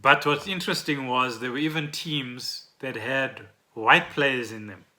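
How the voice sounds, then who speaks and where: South African man with a bright, forceful voice. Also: medium pitch, measured pace. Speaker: podcast co-host. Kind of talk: casual chat about trending news.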